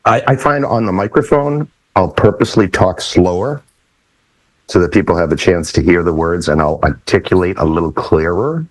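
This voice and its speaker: A man's voice: sits low, normal speed, no echo, low-quality recording. A man chatting casually as a DJ panelist, explaining things and acting out little examples as he goes. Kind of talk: casual chat with acted examples.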